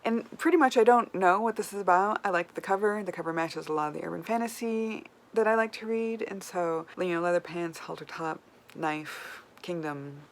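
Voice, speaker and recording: A woman's voice: fairly low pitch, normal speed. A woman chatting casually as a book vlogger, with an informal, wryly self-deprecating manner. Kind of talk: casual chat to camera. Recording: clear background noise.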